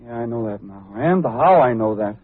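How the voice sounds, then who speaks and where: Husky, shaken young crook, played by an actor. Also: male voice, medium pitch, lively intonation, moderate pace, close to an everyday voice. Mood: chastened, rueful. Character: shaken young male small-time crook, repentant. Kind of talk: old-time radio drama acting. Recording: muffled, narrow-band old radio recording.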